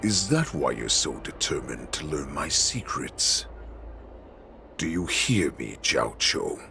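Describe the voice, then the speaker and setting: A cruel wolf-man warlord who savors every word, his voice low and gravelly. He drags out his taunts slowly, swinging wildly between growls and high sneers, over a faint game score. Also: sing-song, bright, forceful tone, a little hiss or hum, exaggerated voice. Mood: taunting. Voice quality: deep gravelly voice. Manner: slow, taunting, with savage laughter. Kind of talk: video game cutscene acting.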